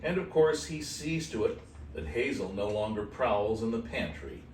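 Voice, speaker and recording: A man's voice: lowish voice, big pitch swings, normal speed, a little mellow. A man reading a children's book aloud as host, expressively, with casual asides to his listeners. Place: animal shelter room with kittens. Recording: echoey room, distant mic, faint noise.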